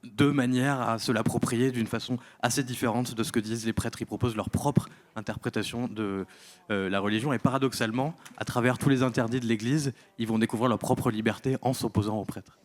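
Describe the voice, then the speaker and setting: A man interpreting an author's answer at a panel, measured with hesitations. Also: mid-range voice, fairly even intonation. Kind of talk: consecutive interpreting.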